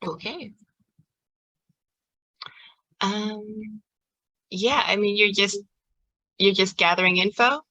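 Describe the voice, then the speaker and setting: A woman running a tabletop game as game master, casual, informal and quick to giggle. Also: mid-range voice, sharp tone, no echo, dull audio.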